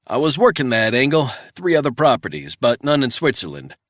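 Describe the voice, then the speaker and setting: A man voicing a brisk American agent answering questions on a call. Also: medium pitch, very animated, fairly bright tone, no echo, studio quality, voice pushed up.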